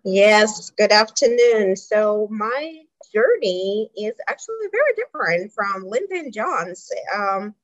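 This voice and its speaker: A middle-aged woman from Kazakhstan who speaks English as a second language, telling her career story on a panel with frequent pauses and ums. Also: mid-range voice, very animated, dry recording. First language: not English. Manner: thoughtful, many um pauses. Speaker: health plan president. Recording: dry, dull lo-fi sound.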